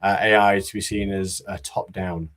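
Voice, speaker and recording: A man giving a conference talk about business readiness, in a monotone voice with many fillers and restarts. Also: deep voice, moderate pace. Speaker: conference speaker.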